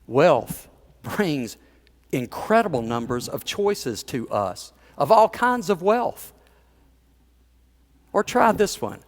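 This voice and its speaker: A man's voice: on the high side, sing-song, takes it slowly, long pauses. A male pastor delivering a sermon in a conversational storytelling manner, with chuckles and playful asides to the congregation.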